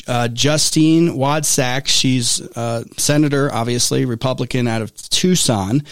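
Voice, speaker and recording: A man from Arizona, hosting a casual political talk show, his voice going hoarse and his talk rambling with many restarts. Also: medium pitch, no room sound, studio quality.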